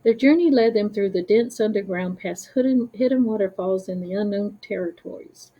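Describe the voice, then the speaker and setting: A woman narrating a children's story as a read-aloud, careful and expressive. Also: medium pitch, moderate pace, low-quality recording.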